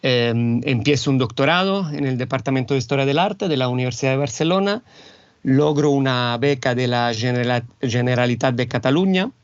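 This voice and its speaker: A man from Italy answers interview questions in a thoughtful, measured way, stopping now and then to find the right word. His voice sits at a middle pitch. The recording is dry and a bit dull. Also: clear, resonant tone, dry recording. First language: Italian. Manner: thoughtful, searching for words, measured. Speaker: guest. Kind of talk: reflective interview talk. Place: home, Barcelona.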